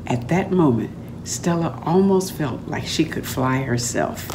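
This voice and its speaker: Older woman, slightly low voice, dramatic read-aloud storyteller, noisy home recording. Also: lively intonation, unhurried. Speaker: author reading aloud from own book. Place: room at home, indoors.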